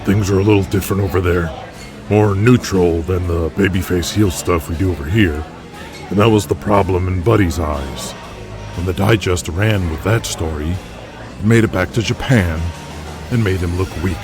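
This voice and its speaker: A man playing a calm, good-natured veteran pro-wrestler in an audio drama, speaking in a measured, conversational way. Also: low voice, natural voice. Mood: frank recounting. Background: music.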